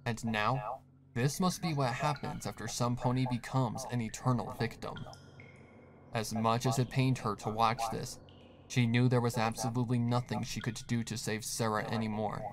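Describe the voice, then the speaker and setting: A man's voice: medium pitch, level tone, normal speed, a crowd in the background. A man reading a scripted horror story as the narrator. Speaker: fan fiction narrator.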